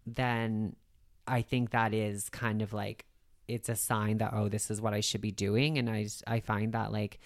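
A woman's voice: extremely low pitch, little pitch movement, unhurried. A woman guest in a casual interview, talking informally with lots of filler words and the odd laugh.

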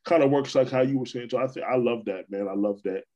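A man from New York hosting a podcast, talking in a relaxed, casual way with lots of repeats and restarts. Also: mid-range voice, quick pace, highs cut off. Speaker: podcast host. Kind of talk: casual conversation.

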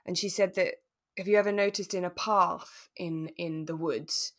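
A woman with a fairly low-pitched voice chats as a podcast co-host. Her delivery is casual and relaxed, as in an informal conversation. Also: moderate pace.

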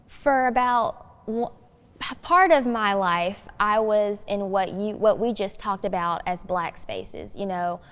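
A woman's voice: medium pitch, clear, resonant tone. Young female guest, teens, mid-range voice, thoughtful and explanatory discussion, recorded with heavy hall reverb. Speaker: youth guest. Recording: long hollow room reverb.

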